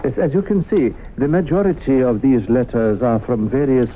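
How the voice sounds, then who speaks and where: An actor playing a grandiose, self-assured traveling professor, speaking pompously and formally in old-time radio drama style. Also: male voice, medium pitch, animated, normal speed, natural voice. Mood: smooth, persuasive. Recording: noisy, phone-like old radio broadcast.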